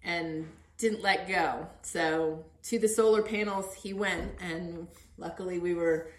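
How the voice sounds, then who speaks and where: A middle-aged woman with a somewhat low voice hosts a casual conversation. She talks in an encouraging way, and the room adds a clear echo around her words. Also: fairly even intonation, takes it slowly. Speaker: podcast host interviewing her son.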